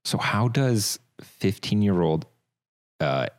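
A man's voice: fairly low pitch, sing-song, slow. A man hosting a casual interview, talking in a laid-back, rambling way with frequent stammers and restarts.

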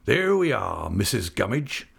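Man voicing a hearty, lively rustic old fisherman. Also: mid-range voice, measured pace, creaky, husky, pitched above the performer's own voice, exaggerated voice. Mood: cheerful glee. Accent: rural English dialect. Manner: jovial, earnest, homely speech.

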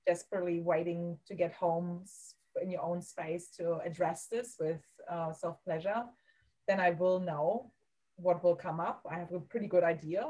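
A woman with a German accent, a guest on a podcast interview, speaking softly and haltingly, searching for words as she goes. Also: on the low side, fairly even intonation, low-quality recording. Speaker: guest, women's coach.

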